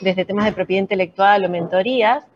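A woman's voice: mid-range voice, slight background noise. Argentine woman scientist, dull lo-fi audio. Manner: measured, explanatory with fillers. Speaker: chief science officer, guest. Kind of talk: casual interview.

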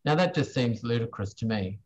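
A man giving unscripted, hesitant answers in a question-and-answer session, halting as he searches for words. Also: mid-range voice, animated. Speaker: guest speaker, screen industry professional answering questions.

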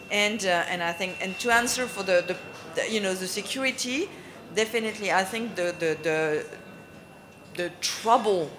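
A woman's voice: medium pitch, fairly bright tone. Earnest woman searching for words. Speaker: panelist for a medical aid group. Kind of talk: panel Q&A answer.

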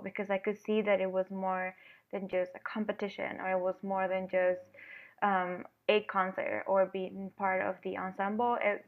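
A young woman from Venezuela, a flute player, answering interview questions in a hesitant way with many pauses and ums. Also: medium pitch. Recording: phone-like narrow sound.

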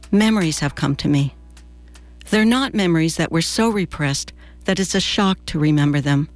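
An American woman in her sixties reads a scripted personal essay for radio in a measured, deliberate storytelling manner. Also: lowish voice, dry recording. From New Jersey, United States. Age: 60s. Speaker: radio commentator and presenter.

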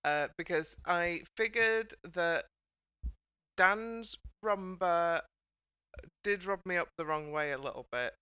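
A woman's voice: fairly low pitch, takes it slowly, bright, forceful tone, clear, resonant tone, dry recording. A woman hosting a casual panel chat in a chatty, wry manner. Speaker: podcast host.

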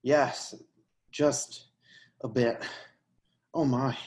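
A woman's voice: extremely low pitch, fairly even intonation, very slow, a little mellow, exaggerated voice. A woman playing a polite, flustered and chatty newcomer in a stage play reading, a little out of breath. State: out of breath, acted. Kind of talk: readers theatre play reading.

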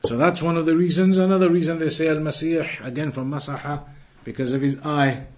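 A man's voice: fairly high pitch, steady tone, fairly quick, darkish tone. A man teaching a religious lesson to a live class, earnest and repeating key phrases, reciting Arabic fluently and quizzing his students. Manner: quizzes the class and waits for answers. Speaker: Islamic teacher.

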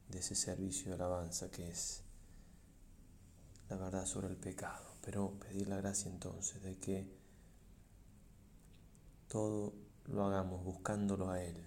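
A man, a priest leading a guided meditation in a solemn, measured way with pauses between short phrases. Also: sits low, warm tone, slight background noise. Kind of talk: slow reflective guided meditation.

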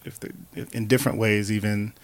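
Relaxed, affirming male interviewer. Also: lowish voice, a little fast, no echo. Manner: relaxed, affirming with frequent murmured agreement. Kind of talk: conversational interview.

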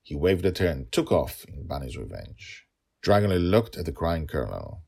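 A man with a non-native English accent that catches on L's and R's, telling a story in a theatrical, emphatic, husky way as narrator. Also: a bass voice, big pitch swings, normal speed, darkish tone. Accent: non-native English accent, trouble with L's and R's. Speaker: host, writer and narrator of a serial story podcast.

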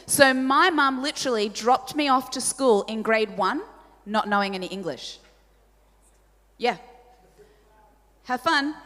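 Australian woman preacher, 40s, raised speaking Polish, lively storyteller, slightly high voice, long church reverb. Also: measured pace, long pauses. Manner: animated storytelling, self-deprecating, asks the audience questions. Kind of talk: sermon. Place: large church hall.

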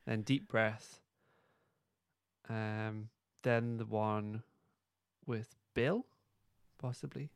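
A man's voice: on the low side, fairly even intonation, very slow, creaky, long pauses, smooth, no room sound, clean studio sound. A man co-hosting a podcast in loose, informal conversation. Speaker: podcast co-host. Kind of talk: casual chat.

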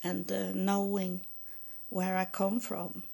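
A woman with a Swedish accent tells her story in English, slowly and haltingly, stopping often to search for words. Her voice has a gravelly, creaky edge at the end of phrases. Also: mid-range voice, monotone, warm tone. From Sweden. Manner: halting, many fillers and restarts. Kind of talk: personal testimony.

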